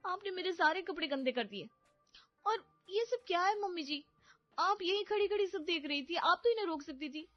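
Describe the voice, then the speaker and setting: A cranky young bride who can't stand festivals, played by a woman, high-pitched and snappish in a cartoon story. She complains sharply over a dull, lo-fi, dry recording with faint music underneath. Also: fairly quick, no echo, lightly acted. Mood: angry.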